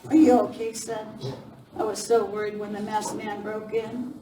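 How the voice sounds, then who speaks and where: A woman's voice: mid-range voice, flat delivery, moderate pace, background noise, close to an everyday voice. Woman acting a worried western mother, echoey. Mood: worried, relieved. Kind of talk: amateur live western radio play. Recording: echoey hall, distant mic, crowd.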